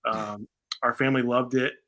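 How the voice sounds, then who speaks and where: This is an American man co-hosting a casual talk show. His voice is strikingly bright and forceful, at a middling pitch. He moves between reading aloud and loose, slangy commentary. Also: steady tone, normal speed. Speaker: show co-host. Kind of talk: casual chat reading out and reacting to reviews.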